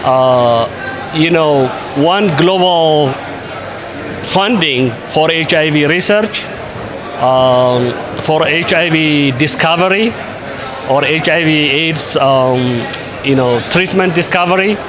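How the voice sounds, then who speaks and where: A man from Ethiopia, an invited conference speaker, answering interview questions in a measured way with frequent pauses and fillers. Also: on the high side, a crowd in the background. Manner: measured, with many hesitations and fillers.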